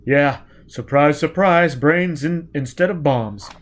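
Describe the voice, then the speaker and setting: Male narrator as hard-driven fugitive convict, gruff and commanding, clipped lines, adventure story reading. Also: fairly high pitch, slow pace. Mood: determined, bossy. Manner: terse, clipped, forceful, uneducated grammar.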